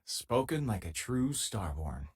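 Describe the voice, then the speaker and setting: An actor playing an easygoing, wisecracking spacefaring companion in a video game, talking in a casual, wry, conversational way. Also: male voice, on the low side, big pitch swings, slow pace, breathy edge, heavily acted. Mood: easygoing, friendly. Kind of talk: video game voice acting, conversational companion lines and combat callouts.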